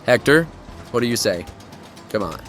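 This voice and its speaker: A man's voice: medium pitch, lively intonation, normal speed, music in the background, natural voice. A man acting as a cocky, daring young urban explorer in a horror audio drama, with a breezy, cajoling, self-assured way of talking.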